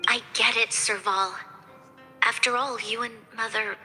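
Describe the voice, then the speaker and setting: An actress voicing a considerate, polite young woman leader in a video game, speaking in an earnest, tactful, measured way. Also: on the high side, steady tone, bright, forceful tone. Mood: tactful, hesitant. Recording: long hall reverb under music.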